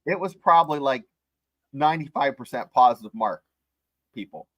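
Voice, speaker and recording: A man co-hosting a sports podcast, speaking in a measured, level-headed way and reading out news items. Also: mid-range voice, lively intonation, a bit bright, no room sound. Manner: measured, reasonable, reads out news. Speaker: podcast co-host. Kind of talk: casual sports podcast chat.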